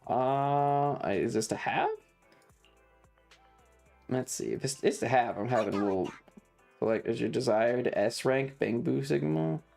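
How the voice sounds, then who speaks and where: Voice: mid-range voice, unhurried, gravelly, long pauses, darkish tone, faint music behind. A streamer chatting casually while gaming, with foul-mouthed slang and playful exclamations. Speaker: variety streamer. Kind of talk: casual gaming livestream chat.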